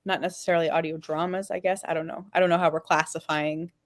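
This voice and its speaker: A woman panelist chatting casually in a halting, informal way with many filler words. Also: sits low, steady tone, no room sound, clean studio sound.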